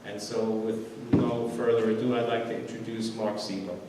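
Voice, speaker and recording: A man hosting an event, speaking slowly and earnestly with some ums as he introduces the next speaker. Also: fairly low pitch, little pitch movement. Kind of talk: speech at an event. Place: large event room. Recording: echoey hall, mic far off, faint noise.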